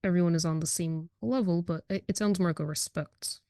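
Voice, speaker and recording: A woman's voice: lowish voice, a little fast, mellow, dry recording, clean studio sound. An American woman hosting a casual interview, speaking informally and affirming what her guest says. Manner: affirming, informal, reacts and encourages. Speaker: podcast host, interviewer.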